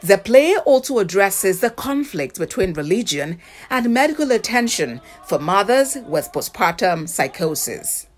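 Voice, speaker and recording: A woman's voice: mid-range voice, very animated, unhurried, few pauses. A woman with a Nigerian accent reading a scripted news report as the reporter.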